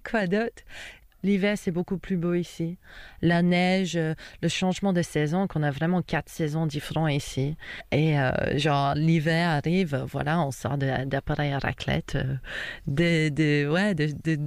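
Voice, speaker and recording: Chatty Australian woman, crisp and clear. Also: on the low side, no echo. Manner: lively, laughs often, many fillers. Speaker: guest. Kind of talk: casual interview chat.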